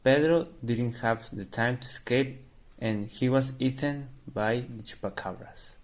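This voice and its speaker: A man narrates a radio drama from a script. His voice sits at a middling pitch and he moves through the sentences slowly.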